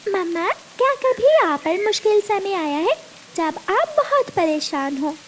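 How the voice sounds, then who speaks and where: Very high little girl bird. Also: very animated, measured pace, full character voice. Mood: curious, concerned. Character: young daughter bird, curious and eager child. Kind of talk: children's cartoon dialogue. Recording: faint background noise.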